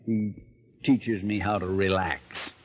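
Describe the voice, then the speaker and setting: An older American man acting in a vintage radio drama, playing a gruff, wealthy, proud and secretive oil tycoon with a blunt, commanding manner. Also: low-pitched, little pitch movement, slow. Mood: guarded, confiding.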